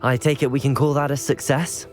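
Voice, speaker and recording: A man's voice: mid-range voice, level tone, a little fast, faint music behind, close to an everyday voice. A man voicing a polite, inquisitive young student wizard, the hero of a video game. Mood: calm, curious.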